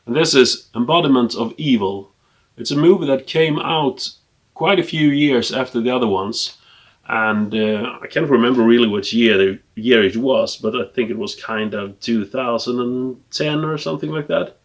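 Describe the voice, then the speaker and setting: A man from Sweden with a Swedish accent, a native Swedish speaker, casually showing off a collection in English in a hesitant, halting way with trailing asides. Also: mid-range voice. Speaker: film collector presenting a collection. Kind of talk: casual unscripted show-and-tell.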